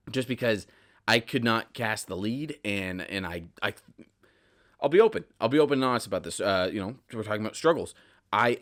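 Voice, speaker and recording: Guy with a lowish voice chatting solo on his podcast, candid, rambly, keeps cutting himself off. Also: fairly bright tone. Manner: rambling, self-interrupting, candid. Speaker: podcast host, audio drama writer. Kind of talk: casual solo podcast monologue.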